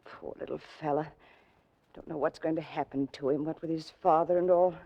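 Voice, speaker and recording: An actress playing a kindly, fretful boarding-house landlady in a 1940s radio drama, with a husky voice and a fluttery, chatty manner. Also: low-pitched, steady tone, a little fast, warm tone. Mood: flustered. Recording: dull 1940s radio recording.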